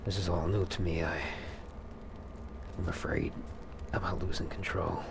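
A man roleplaying a hesitant, shaken new vampire in a video game, muttering and halting nervously. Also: low voice, little pitch movement, vocal fry, noisy background. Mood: worried. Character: hesitant, shaken newly turned male vampire, anxious. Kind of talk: improvised in-character game roleplay.

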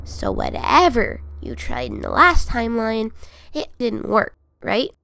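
Cold, mocking child villain, woman performer, high and lilting, drawn-out delivery; cartoon dub with background noise. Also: wildly swooping pitch, vocal fry, pushed and bright, dry recording, exaggerated voice. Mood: smug, probing. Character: cold, taunting child who remembers killing everyone. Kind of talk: animated comic dub.

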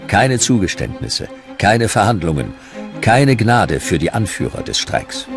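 A man with a very low voice narrates a historical documentary. His delivery is scripted narration. Music plays clearly behind him. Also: sing-song, measured pace.

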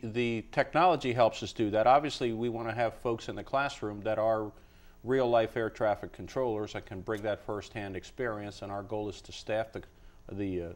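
Low-voiced man explaining, halting and measured. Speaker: college associate dean, interview guest. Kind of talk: explanatory interview answers. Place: indoor TV studio. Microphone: lapel.

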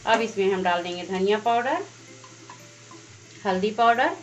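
A woman hosts a home cooking lesson, talking through every step in a plain, instructive way at a middling pitch. The sound is a little dull and lo-fi, with soft music in the background. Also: normal speed. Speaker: cooking channel host. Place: home kitchen at the stove.

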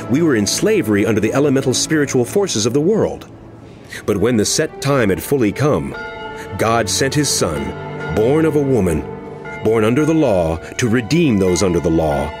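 An actor playing an earnest male apostle who pleads with and admonishes his flock, impassioned and deliberate, in a dramatized scripture reading. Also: mid-range voice, very animated, lightly acted. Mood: solemn. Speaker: actor in a dramatized audio Bible. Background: music.

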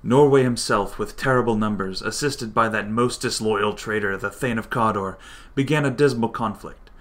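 An actor playing a loyal, forceful thane bringing battle news in a Shakespearean radio drama, in a proclaiming manner. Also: male voice, lowish voice, normal speed, lightly acted. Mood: proud, urgent. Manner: declamatory, proclaiming.

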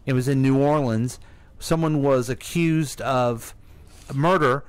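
Male American radio co-host, mid-range voice, halting and wry in conversation. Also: mid-range voice, clear, resonant tone. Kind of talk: casual radio discussion.

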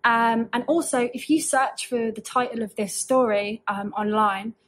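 A young woman reading and talking through a story for children at an unhurried pace. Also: fairly high pitch, fairly even intonation. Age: young adult. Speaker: story reader. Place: small indoor room with bookshelves.

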